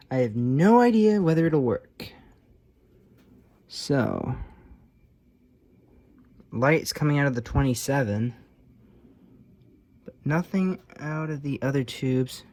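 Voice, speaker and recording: A man's voice: medium pitch, animated, slow pace, long pauses, a little mellow. Casual man thinking aloud while demonstrating. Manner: informal, offhand, thinking aloud. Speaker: hobbyist restorer presenting his own repair video. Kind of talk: casual unscripted hands-on demonstration commentary.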